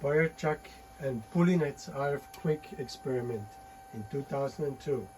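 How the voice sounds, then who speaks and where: Halting man giving a technical talk. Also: mid-range voice, a little mellow, slight background noise, poor audio. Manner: halting, many fillers and restarts. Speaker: presenter. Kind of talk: technical conference presentation.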